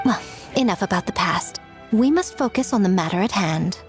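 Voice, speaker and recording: A woman playing a sly, poised shrine priestess in an anime game, speaking in a smooth, deliberate, theatrical way. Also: mid-range voice, expressive, faint music behind, close to an everyday voice. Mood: sly, calculating.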